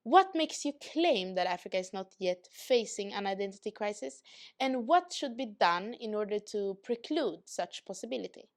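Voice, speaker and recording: A woman host who listens quietly and then asks measured interview questions. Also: medium pitch, animated.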